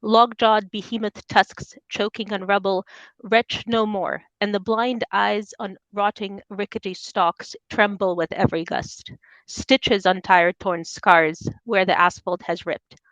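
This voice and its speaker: A woman's voice: medium pitch, steady tone, measured pace, a bit bright, no echo, low-quality recording. A woman from Soviet Ukraine speaking as a poet at a reading, presenting her own work. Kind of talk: poetry reading with informal introductions.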